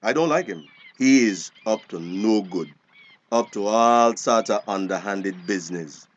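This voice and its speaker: A man's voice: on the low side, expressive, a little slow, dull audio. An actor playing a weary, bitter old grandfather in a screen drama, with a Belizean accent. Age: older.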